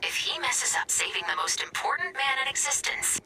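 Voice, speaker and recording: A woman voicing a haughty, sardonic female robot in a video game, deadpan and condescending. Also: high voice, a little fast, whispered, faint music behind, full character voice, low-quality recording. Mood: scornful. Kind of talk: video game character dialogue.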